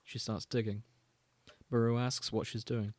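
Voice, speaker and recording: Male podcast host, medium pitch, storytelling read of amateur fiction with informal asides, very dry sound. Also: flat delivery, measured pace, darkish tone, dry recording. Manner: storytelling, alternating read-out prose with casual asides. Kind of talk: reading and summarizing fan fiction.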